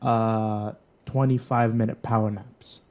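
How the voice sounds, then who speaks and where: A man's voice: on the low side, level tone, slow pace, darkish tone. A young man, a trainee pilot, chatting casually on a podcast and laughing easily. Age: young adult.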